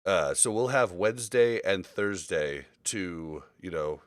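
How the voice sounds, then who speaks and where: A man's voice: low voice, lively intonation, takes it slowly, clear, resonant tone, no echo, clean studio sound. A man hosting a casual gaming podcast, talking in a rambling, informal way. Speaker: podcast host.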